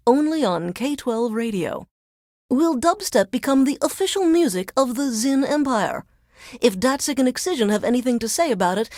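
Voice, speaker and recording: A wry newswoman stuck working as a game radio DJ. Her voice sits fairly high and moves with lively inflection, yet she keeps a formal, deadpan newsreader delivery. The sound is close and dry. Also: no echo. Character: wry woman news reporter reduced to radio DJ. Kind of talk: scripted radio DJ announcing.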